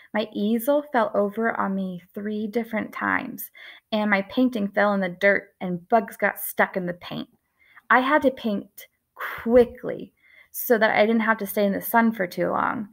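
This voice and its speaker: Woman art teacher, 30s, slightly high voice with gravelly fry, gentle storytelling for small kids, close webcam. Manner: gentle, expressive storytelling with slow emphatic words. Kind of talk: lesson for young children.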